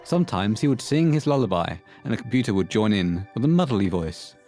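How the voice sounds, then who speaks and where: A man's voice: fairly low pitch, very animated, fairly quick, mellow, soft background music. A man reading scripted narration as the storyteller of an audio horror play.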